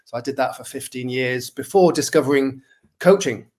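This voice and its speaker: Brief Mancunian man, 40s, mid-pitched, friendly and self-deprecating, lots of ums, video-call webcam sound. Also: normal speed, a little mellow. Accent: Mancunian English. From Manchester, England. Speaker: guest. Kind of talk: casual interview chat. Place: indoor room at home.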